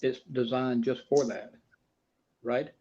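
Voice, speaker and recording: A man's voice: mid-range voice, steady tone, slow pace, creaky, mellow. A man chatting casually with fellow paranormal investigators, asking short, hesitant questions. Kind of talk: casual group chat. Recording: muffled, noisy line.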